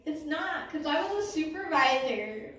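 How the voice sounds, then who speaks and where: A woman comedian in her early thirties performs live stand-up in a high-pitched voice. She talks conversationally, pokes fun at herself and acts out little exchanges. The recording is heavily echoing and sounds well away from the microphone. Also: expressive, measured pace, fairly bright tone, far from the mic, a little hiss or hum. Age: early 30s. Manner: conversational, self-deprecating, acts out short dialogues.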